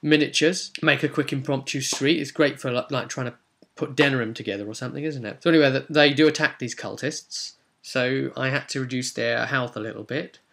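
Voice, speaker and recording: Male game master for a casual session recap: mid-pitched with a creaky, fried edge, informal and rambling. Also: steady tone, brisk, a bit bright. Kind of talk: casual recap of a tabletop role-playing session.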